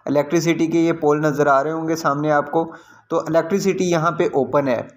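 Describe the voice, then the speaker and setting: Higher-pitched Pakistani man giving property commentary. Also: steady tone, a little fast. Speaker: real estate agent, video host. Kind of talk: property site-visit commentary.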